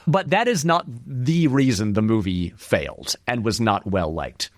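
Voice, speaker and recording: A man's voice: lowish voice, a little slow, no echo, studio quality. A man giving casual, conversational commentary as an interview guest, with restarts and lots of filler words. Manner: animated, acts out short quotes in passing; filler-heavy, frequent "like" and restarts. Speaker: interview guest, film video essayist.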